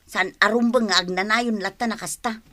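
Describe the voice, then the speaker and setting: An actress playing a practical, caring, nagging family breadwinner in a radio drama, chiding and insistent. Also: female voice, medium pitch, steady tone, normal speed, pushed and bright, natural voice. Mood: coaxing. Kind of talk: Ilocano radio drama acting.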